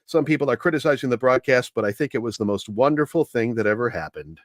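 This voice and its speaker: A man giving an informal, explanatory history talk with anecdotes, speaking in a measured, hesitant way as a commentator. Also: mid-range voice, expressive, no room sound, clean studio sound.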